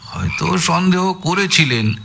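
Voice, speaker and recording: Shrewd detective, unhurried delivery, musing, halting repetitions, audio drama, faint background noise. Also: male voice, high voice, animated, creaky, a bit bright, full character voice. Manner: hesitant, repeats words, musing aloud. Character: shrewd male detective, pondering and instructing. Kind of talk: Bengali audio story acting.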